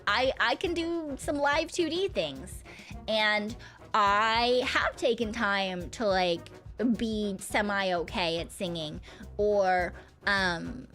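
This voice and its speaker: A young woman with a slightly high voice chats loosely on a stream, humming and giggling between thoughts. She rambles through fillers and drops a casual swear now and then. Soft music plays faintly behind her. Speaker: VTuber streamer.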